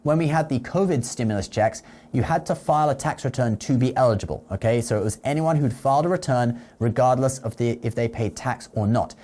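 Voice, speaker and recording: A man hosts an online money explainer in a medium-pitched voice. He talks directly to the viewer in an explanatory manner, punctuating his points with quick questions to check they are following. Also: mellow. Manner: explanatory, checks in with "okay?" and "all right?". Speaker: finance news video host. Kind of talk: explainer commentary to camera with sponsor read.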